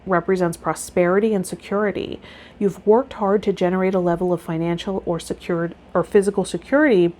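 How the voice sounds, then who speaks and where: A woman's voice: lowish voice, mellow. A woman speaking to viewers as a tarot vlogger and spiritual guide, reading aloud and chatting.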